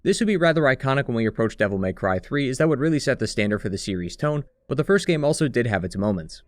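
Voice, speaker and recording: A man's voice: medium pitch, lively intonation, fast, no echo. A man giving a scripted narration for a video game retrospective.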